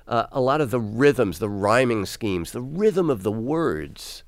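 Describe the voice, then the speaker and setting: An American man hosts a radio interview in a conversational way. His voice is smooth and clear, with very expressive rises and falls. The sound is dry and intimate. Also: mid-range voice, a little slow, no echo.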